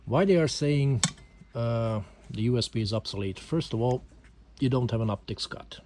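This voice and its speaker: A man's voice: mid-range voice, moderate pace, a little mellow. A man from Hungary with a Hungarian accent, speaking English as a second language and hesitantly explaining a handgun in a casual home video, with many fillers. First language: not English. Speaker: amateur gun reviewer. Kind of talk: casual amateur video talk.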